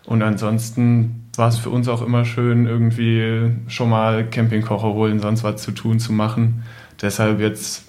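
A man's voice: on the low side, completely flat delivery, normal speed, dark tone. A young man casually reminiscing as a podcast guest in a laid-back, offhand way.

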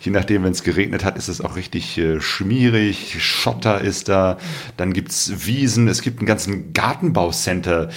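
A man's voice: low voice, normal speed, breathy edge. A man co-hosting an interview in a casual chat, with informal phrasing and little tag questions. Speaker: podcast co-host interviewing.

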